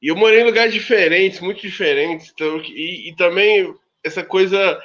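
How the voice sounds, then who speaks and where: A man from Rio de Janeiro state talks in a slightly high voice, often breaking off and restarting his thoughts. His audio comes through muffled, as on a video call. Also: fairly bright tone. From Rio de Janeiro state, Brazil. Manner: halting, with many restarts and fillers. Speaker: researcher on a panel. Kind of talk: casual academic debate talk.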